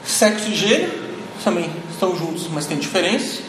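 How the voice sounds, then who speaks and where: A man's voice: high-pitched, sing-song, brisk. A man giving a classroom lecture, thinking aloud and tagging his sentences with frequent questions to the listeners. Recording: echoey hall, noisy ambience.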